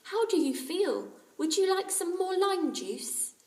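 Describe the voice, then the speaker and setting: A woman's voice: very high-pitched, big pitch swings, slow, voice pushed up, full character voice. A woman voicing a caring, cheerful mother who fusses kindly, in a storybook reading. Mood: solicitous. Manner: solicitous, bright exclamations. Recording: roomy, distant microphone.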